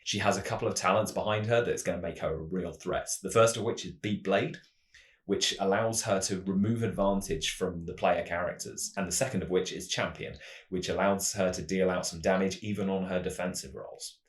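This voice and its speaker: Deep-voiced man in an echoey room. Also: steady tone, brisk. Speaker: tabletop roleplaying game channel host. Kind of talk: semi-scripted explanatory narration. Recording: roomy, echoing voice recording.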